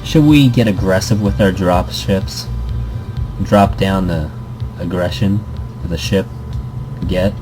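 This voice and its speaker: A man's voice: sits low, takes it slowly, pauses often, over music, low-quality recording. A man hosting a casual let's play, reading visual novel text aloud with commentary and often stumbling and repeating words. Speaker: gaming video host.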